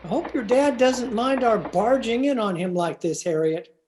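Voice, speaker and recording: Timid gentleman teacher played by an actor: middling pitch, deferential, formal, hesitant, radio sitcom. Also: lively intonation, moderate pace, loud music behind the voice, natural voice. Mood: mild, concerned. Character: mild, timid, proper male biology teacher. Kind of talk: old-time radio comedy acting. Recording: remote video-call recording.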